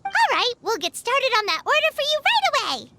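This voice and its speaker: A woman voicing a small, excitable, childlike fairy companion with a squeaky voice in a video game cutscene. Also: brisk, heavy background noise, exaggerated voice. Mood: excited. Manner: refers to self in third person, exclaiming. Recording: music under the voice. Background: prominent childlike background music.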